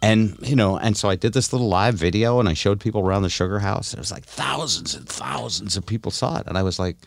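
A man's voice: lowish voice, fast, creaky, no echo. A middle-aged or older man hosting a casual comedic podcast, telling stories in a teasing, self-deprecating way. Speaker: radio show host.

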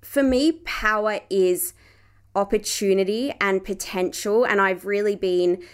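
A woman's voice: medium pitch, steady tone, measured pace, smooth. A woman hosting a podcast, talking with an effusive, enthusiastic manner in a live online conversation and then closing the show. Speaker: podcast host. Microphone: live-stream call.

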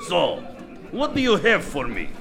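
An actor playing a menacing older villain boss and father in a comedic radio play, speaking slowly and deliberately. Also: high-pitched, wildly swooping pitch, gravelly, very noisy, heavily acted. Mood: commanding.

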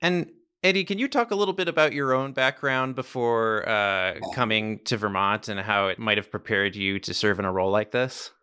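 Bright-voiced male podcast host. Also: lowish voice. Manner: clear, measured questions. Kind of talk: interview questions and outro.

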